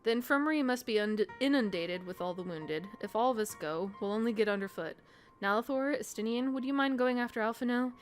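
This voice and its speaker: A woman's voice: fairly high pitch, faint music behind, close to an everyday voice. Woman reading as earnest, formal fantasy game adventurer. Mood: concerned. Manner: formal, measured, courtly.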